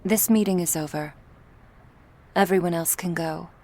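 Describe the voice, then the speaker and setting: A woman playing a cool, menacing female vampire crime boss in an ASMR roleplay, speaking in a measured, authoritative way. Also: on the low side, a little mellow, a little hiss or hum, lightly acted. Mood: commanding.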